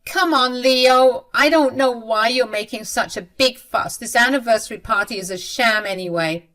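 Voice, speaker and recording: A woman voicing a bossy, scheming older mother-in-law who talks in a shrill, indignant way. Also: normal speed, fairly bright tone, lightly acted.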